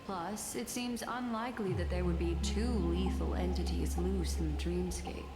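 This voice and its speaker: An actress playing a poised, mysterious and shrewd woman in a fantasy video game, advising calmly in measured, formal phrases. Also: on the low side, natural voice. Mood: grave, thoughtful. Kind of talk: scripted video game dialogue. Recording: reverberant voice under loud game music.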